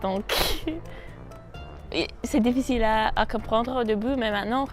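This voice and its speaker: Higher-voiced woman, non-native French speaker. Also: a little slow, faint music behind. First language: not French. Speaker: interviewee. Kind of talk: casual chatty explanation, non-native.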